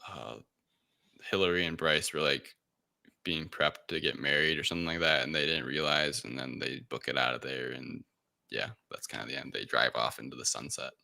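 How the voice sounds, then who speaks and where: A man's voice: a bass voice, fairly even intonation, normal speed, vocal fry, bright, forceful tone, no echo, clean studio sound. A man co-hosting a podcast, casually recapping a film in a hesitant, rambling way. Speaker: podcast co-host.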